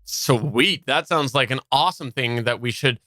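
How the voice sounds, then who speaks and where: A man hosts a podcast in a bright, forward voice of medium pitch. He keeps up casual, joking banter, recorded dry and free of echo. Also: normal speed, soft background music. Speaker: podcast host.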